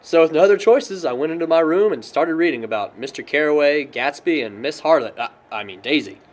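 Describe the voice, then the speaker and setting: A man plays a sarcastic young American guy in a naturalistic indie drama. His voice is fairly high and swings a lot in pitch, with a wry, bookish edge among friends. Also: expressive, a little fast, close to an everyday voice. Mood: reminiscing. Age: young adult. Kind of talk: naturalistic amateur film dialogue.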